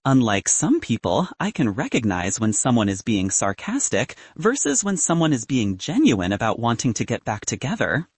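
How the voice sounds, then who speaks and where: A man's voice: medium pitch, wildly swooping pitch, moderate pace, hardly any pauses, slightly rough, dry recording. A man voicing a candid, wry young woman physicist. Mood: frank, serious. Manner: dry, frank, advice-giving.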